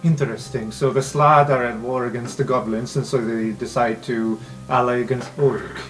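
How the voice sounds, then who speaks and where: An earnest academic acted by a man in an improvised tabletop game. He speaks formally and deliberately, wary yet loyal, with faint music underneath. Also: mid-range voice, fairly even intonation, fairly bright tone, close to an everyday voice. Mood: thoughtful, dry. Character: earnest, conscientious male history professor, wary but loyal.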